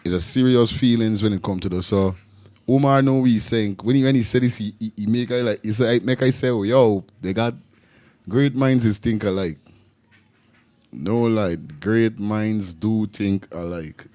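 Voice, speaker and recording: A man's voice: fairly low pitch, normal speed, darkish tone. A man with a Guyanese accent, hosting a reaction video and rambling loosely in casual commentary. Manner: loose, rambling, repeats himself with Creole grammar. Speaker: reaction channel host.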